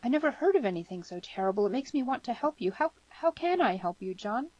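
A woman's voice: on the high side, sing-song, normal speed, no room sound, voice pushed up. A woman voicing a spirited, forthright young woman in an audiobook, with an emphatic, questioning delivery. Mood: troubled, earnest. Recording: slightly muffled lo-fi audio.

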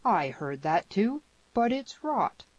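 Woman doing stern old rancher dad, western audiobook, slow n gruff, dull lofi sound. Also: medium pitch, big pitch swings, a little mellow, no echo, pitched above the performer's own voice, heavily acted. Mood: indignant. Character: stern older rancher father, gruff and indignant. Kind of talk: western audiobook character reading.

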